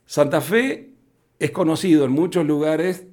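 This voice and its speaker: A man's voice: fairly high pitch, a little slow, a little mellow. A man with an Argentine Spanish accent, speaking conversationally as a festival founder being interviewed on radio.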